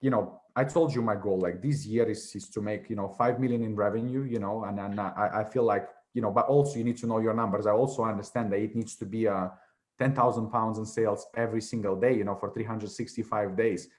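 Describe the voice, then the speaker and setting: 20s guy from latvia, russian is his first language, talks quick and rambly, lots of "you know". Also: on the low side, steady tone. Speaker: guest. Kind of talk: casual interview chat.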